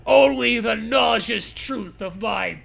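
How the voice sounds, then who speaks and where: High-voiced man reading with slow drama. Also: gravelly, fairly bright tone. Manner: mock-dramatic, swears casually. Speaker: co-host reading aloud. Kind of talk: comic dramatic read-aloud of an absurd story.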